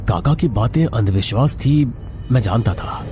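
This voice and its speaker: A man's voice: mid-range voice, animated, normal speed, warm tone, soft background music. A man narrating a scripted horror story in a dramatic, suspenseful manner.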